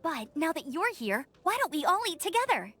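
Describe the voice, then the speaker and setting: Friendly, high-voiced young townswoman. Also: very animated, normal speed, pushed and bright, slight background noise, exaggerated voice. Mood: friendly, upbeat. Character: friendly, bright-spirited young woman of a fantasy town. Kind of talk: video game voice acting.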